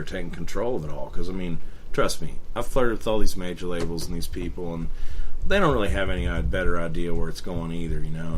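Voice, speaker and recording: A man's voice: low voice, slight background noise. A man, a band member being interviewed, talking casually and at length about his band's career.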